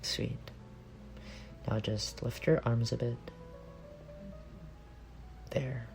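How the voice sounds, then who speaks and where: A man plays a young male roommate with a secret crush, affectionate and teasing. His voice is soft and creaky at an ordinary male pitch, murmuring in an intimate ASMR style. Music plays behind him. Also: level tone, extremely slow. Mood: fond, soothing.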